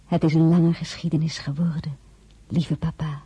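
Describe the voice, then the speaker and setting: A wistful woman looking back on her life in a radio drama. Her voice is very husky and breathy, with a mellow softness. She narrates intimately and reflectively, in a dry studio sound. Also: low-pitched, level tone, moderate pace, dry recording. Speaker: lead actress and first-person narrator.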